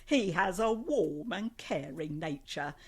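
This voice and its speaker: An actress in a radio play playing an older, old-fashioned woman, fond but tartly sceptical, with a deliberate delivery. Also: fairly low pitch, lively intonation, husky, full character voice. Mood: reading aloud deliberately.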